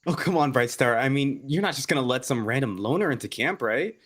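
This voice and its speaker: A man's voice: mid-range voice, lively intonation, fairly quick, a bit bright. A man voicing a disdainful, suspicious young tomcat from a wild clan in a roleplay game. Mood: scornful. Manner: scornful, protesting.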